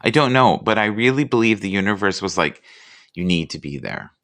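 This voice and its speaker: A man hosting a casual live chat, relaxed and rambling, who reads inspirational quotes aloud slowly. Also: on the low side. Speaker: livestream host.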